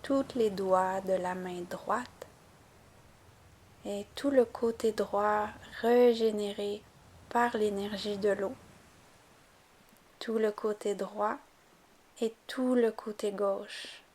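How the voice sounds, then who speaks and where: A woman leads a guided relaxation as a yoga nidra teacher. She speaks very slowly in a mid-pitched voice, with gentle, calm phrasing that leaves room between instructions. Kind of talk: guided relaxation meditation narration.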